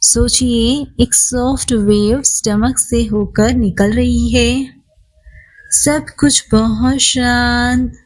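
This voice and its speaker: A woman guides a meditation at a very slow pace. She sounds calm and soothing, leaving long, unhurried pauses as she instructs the listener to relax. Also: fairly high pitch, poor audio. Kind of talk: guided body scan meditation.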